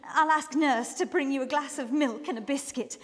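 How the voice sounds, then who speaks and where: A woman's voice: high voice, moderate pace, natural voice. A woman playing a gushing, adoring schoolteacher in a radio play, effusive and theatrical. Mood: besotted, gushing. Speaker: actor in an amateur radio play. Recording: echoey hall, long reverb.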